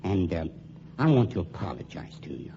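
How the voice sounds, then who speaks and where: An actor playing a coolly menacing funeral home owner with silky, formal politeness in an old-time radio crime drama. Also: male voice, low voice, normal speed, gravelly, exaggerated voice. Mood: smooth, mocking. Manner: formal, silkily polite, deadpan. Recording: muffled, noisy old radio recording.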